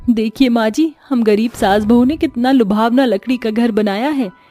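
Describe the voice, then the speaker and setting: A woman's voice: a little high, moderate pace, mellow, faint music behind, pitched above the performer's own voice, lightly acted. A woman voicing a poor, hardworking young daughter-in-law who speaks eagerly. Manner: eager, calling out.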